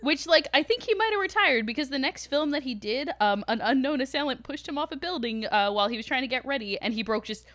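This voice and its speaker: Podcast co-host, woman, slightly high voice, dry recording. Casual, joking storyteller who swears freely. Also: animated, fast talker, few pauses, fairly bright tone, no room sound. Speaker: podcast co-host telling film legends.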